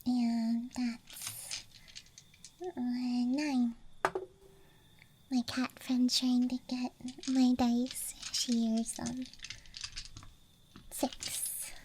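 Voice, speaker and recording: Woman, breathy whisper, high soft tone, close-mic ASMR, gentle murmur, noticeable background noise. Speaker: ASMR streamer playing a dice goblin persona.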